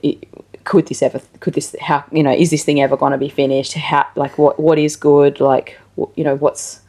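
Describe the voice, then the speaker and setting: A woman's voice: deep voice, flat delivery, normal speed, mellow. A woman hosting a casual interview, phrasing her thoughts in a tentative, rambling way. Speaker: interview show host, musician. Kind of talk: casual chat.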